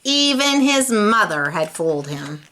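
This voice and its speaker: A woman reads a children's story at an unhurried pace. Her voice is bright and swoops through a lively range, with an expressive, teacherly delivery. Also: medium pitch. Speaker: storybook reader. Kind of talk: animated read-aloud for children.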